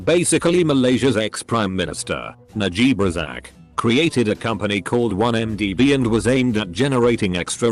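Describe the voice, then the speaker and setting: A man with an English accent narrates a string of online posts in a measured, even-paced read. His voice sits on the low side but moves a lot in pitch from phrase to phrase. Soft background music runs faintly beneath him. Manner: reads one post after another in a steady, measured delivery. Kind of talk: scripted narration.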